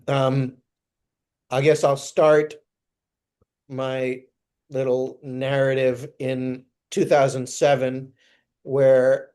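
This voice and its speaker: A man from New York on a video call, telling his story in a measured way with soft filler sounds. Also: mid-range voice, smooth. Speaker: guest introducing himself. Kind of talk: casual video call conversation.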